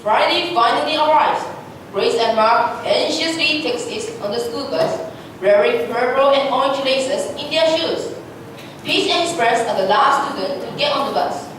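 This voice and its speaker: A woman with a high voice reads scripted narration for a reader's theatre piece. She is recorded from a distance in a very echoey room, with clear noise behind her. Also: moderate pace, a bit bright.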